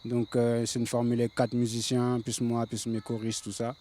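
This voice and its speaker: A man's voice: mid-range voice, monotone, moderate pace, mellow. A man in his late thirties from the Paris suburbs, a reggae singer, talking in a laid-back way with many fillers. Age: 30s. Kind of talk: casual interview.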